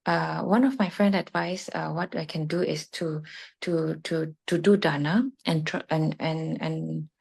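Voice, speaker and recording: A woman in her thirties from Malaysia, asking hesitantly and politely for advice over a video call, with many pauses and restarts. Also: deep voice, steady tone, creaky, darkish tone, breathy edge.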